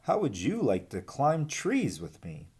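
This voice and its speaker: Man doing a young snake, very expressive. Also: medium pitch, a little slow, breathy edge, voice pushed up, heavily acted. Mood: reflective. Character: young male snake, excitable and naive, growing wiser.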